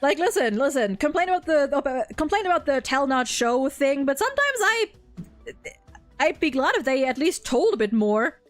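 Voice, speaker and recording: A woman livestream host chatting casually and excitably, rambling as she goes. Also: high-pitched, normal speed, faint music behind. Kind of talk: casual chat.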